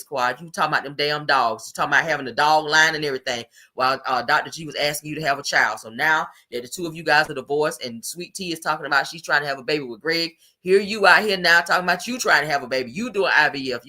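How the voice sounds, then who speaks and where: A woman doing casual, blunt reality-TV gossip commentary for her viewers, her voice deepened by allergies. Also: sharp tone. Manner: blunt, slangy, talks straight to viewers.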